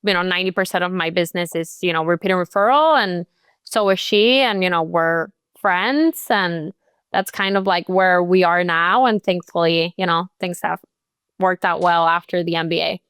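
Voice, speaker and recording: A young woman from Colombia talks through her career as an interview guest, her voice smooth and clear. She chats easily, filling her talk with "like" and "you know". The sound is close and dry. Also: lowish voice, normal speed, a bit bright, no room sound. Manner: chatty, many "like" and "you know" fillers. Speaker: guest, real estate agent. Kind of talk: casual interview chat.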